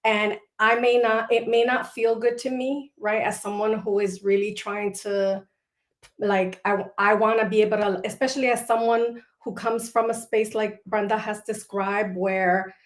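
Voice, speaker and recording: A woman hosting a panel discussion who speaks thoughtfully and pauses to search for her words. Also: mid-range voice, flat delivery, roomy sound. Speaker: host.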